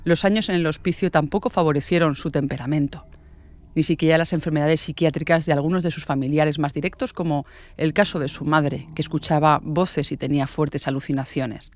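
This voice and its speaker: A woman's voice: sits low, fairly even intonation, brisk. A woman from hosting a podcast, reading scripted true-crime narration. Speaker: podcast host and author.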